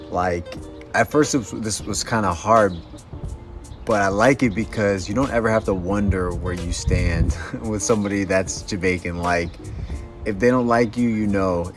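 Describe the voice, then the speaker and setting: Low-voiced relaxed man. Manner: relaxed reflective chat with laughs. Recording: faint background music.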